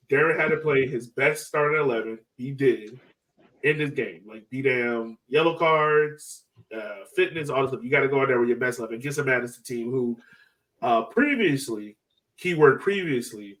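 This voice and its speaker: A man hosting a casual sports fan podcast, talking in an animated, slangy, exclaiming way. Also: mid-range voice, recorded from a distance, poor audio. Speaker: podcast host.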